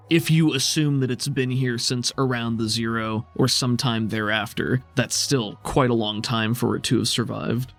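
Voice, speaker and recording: Man game master narrating over music. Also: medium pitch. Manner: conversational, descriptive. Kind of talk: improvised narration of a tabletop game.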